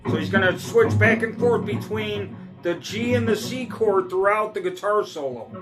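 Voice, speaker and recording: A middle-aged man giving a relaxed, casual guitar lesson, often checking in with "all right?" and "okay?". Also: high voice, moderate pace, poor audio. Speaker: guitar teacher. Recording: faint guitar playing between phrases.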